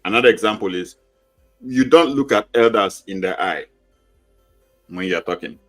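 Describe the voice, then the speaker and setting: Male panelist, lower voice, patient explainer. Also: moderate pace, fairly bright tone, soft background music. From Nigeria. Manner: explains with concrete examples, emphatic. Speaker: panelist, teacher of newcomer classes.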